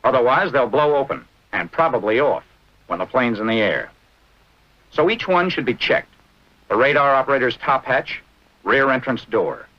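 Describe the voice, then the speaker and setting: An American man narrating a 1940s military training film in a brisk, instructive manner with clipped short phrases. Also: medium pitch, big pitch swings. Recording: dull, band-limited vintage film soundtrack.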